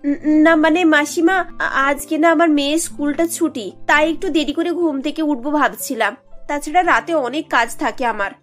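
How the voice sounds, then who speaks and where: A cartoon young daughter-in-law, put on by a woman speaks in a high voice over faint music. She sounds harried and flustered, with a whining, put-upon manner. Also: normal speed, close to an everyday voice. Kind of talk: animated cartoon dialogue.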